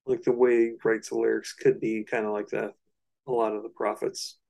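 Low, fairly monotone man co-hosting. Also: moderate pace, vocal fry. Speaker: podcast co-host. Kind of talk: casual podcast discussion.

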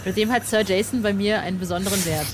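A woman's voice: mid-range voice, fast talker. A woman hosting a casual interview, talking in a chatty, informal, slangy way. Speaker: podcast host, interviewer. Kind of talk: casual chat. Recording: clear background noise.

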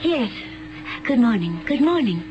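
An actress playing a serene, mysterious woman prisoner in a radio drama, speaking in a calm, formal, cryptic way. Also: on the high side, measured pace, highs cut off. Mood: serene, gentle. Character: serene, mysterious female prisoner awaiting murder trial. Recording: band-limited 1970s radio broadcast with music.

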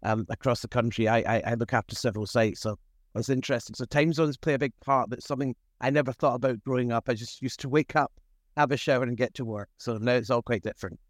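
A man's voice: mid-range voice, fairly even intonation, fast talker, dry recording. Modest man in his fifties, chatting, dry sound. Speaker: podcast guest, food safety executive. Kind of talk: casual reflective interview chat.

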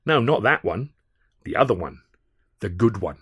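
A man voicing a burly, menacing sailor thug in an audiobook, with a nasty sneering drawl and rough dialect. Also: fairly low pitch, sing-song, normal speed, fairly bright tone, exaggerated voice. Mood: sneering menace.

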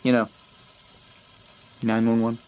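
An actor playing an anxious, desperate man in an audio drama, speaking haltingly and repeating words. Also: mid-range voice, completely flat delivery, a little mellow, smooth, natural voice. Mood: awkward gratitude. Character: anxious, desperate man searching for his dog.

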